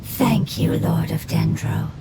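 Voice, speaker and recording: A woman's voice: sits low, slow, gravelly, background noise, heavily acted. A woman voicing a solemn, grateful spirit creature in an anime game, speaking formally through an echoing, layered effect. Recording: echoing voice under loud game music.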